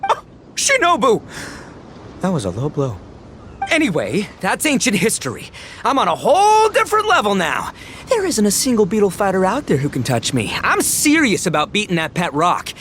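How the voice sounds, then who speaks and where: A man's voice: high-pitched, wildly swooping pitch, moderate pace, fairly bright tone, slight background noise, full character voice. A man playing a brash, boastful, goofy gang boss in an anime game, with a blustering, theatrical delivery.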